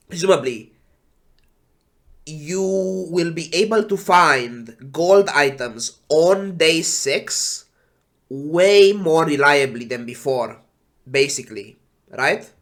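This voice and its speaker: A man who is sick and congested, sighing and talking slowly while casually reading game patch notes on a stream. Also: fairly high pitch, animated, fairly bright tone. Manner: slow, sighing, trailing off. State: congested with flu.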